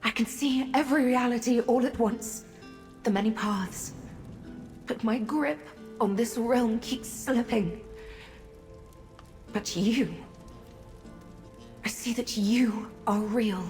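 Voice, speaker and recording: A lost, fragile goddess speaks in slow, faltering riddles, swathed in long reverb over music. She is a female video game character, haunted and pleading, groping for each thought. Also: a little high, darkish tone. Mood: dazed, halting. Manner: halting, faltering, cryptic.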